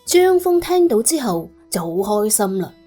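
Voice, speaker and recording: A woman audiobook narrator. Her voice is fairly high, very expressive and somewhat husky, with soft music behind. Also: moderate pace. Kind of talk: animated storytelling narration.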